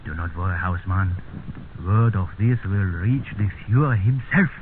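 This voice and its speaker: An actor playing a cold, calculating Nazi general with a commanding manner in a 1950s radio drama. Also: male voice, sits low, normal speed, close to an everyday voice. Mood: pleased, calculating. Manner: commanding, condescending. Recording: very tinny, noisy 1950s radio recording.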